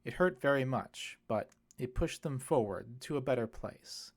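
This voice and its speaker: A kindly priest in an audiobook, acted out by a man, muses and explains with gentle wit. His mid-pitched voice rises and falls in a very lively way, and his manner is reflective and apologetically polite. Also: a little slow. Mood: musing. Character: kindly, musing, gently witty adult male priest. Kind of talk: audiobook character dialogue.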